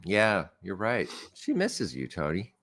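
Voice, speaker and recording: A middle-aged man co-hosting a casual podcast, a bit hoarse and tired this morning, joking and laughing at himself. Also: low voice, wildly swooping pitch, slow pace, fairly bright tone. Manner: joking, self-deprecating, lots of laughs. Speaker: podcast co-host.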